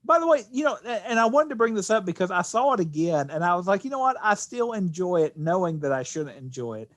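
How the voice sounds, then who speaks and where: A man's voice: high-pitched, sing-song, quick pace, fairly bright tone, no echo. A man co-hosting a podcast, casually discussing films, posing questions and making considered points. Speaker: podcast co-host.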